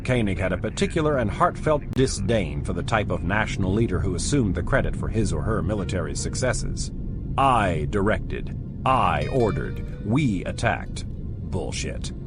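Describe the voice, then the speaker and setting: A man narrating a dramatized science fiction audiobook in an expressive storytelling manner. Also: deep voice, a little fast, hardly any pauses. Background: music.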